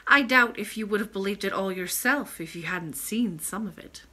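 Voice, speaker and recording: A woman playing a sweet, wise old grandmother in a storybook, speaking in a gentle, patient, measured manner. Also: medium pitch. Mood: calm, wise.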